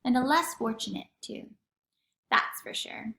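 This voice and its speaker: A woman voicing a gentle, reserved preacher father in a storybook reading. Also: high-pitched, expressive, measured pace, creaky, heavily acted. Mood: gentle, amused. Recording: echoey room.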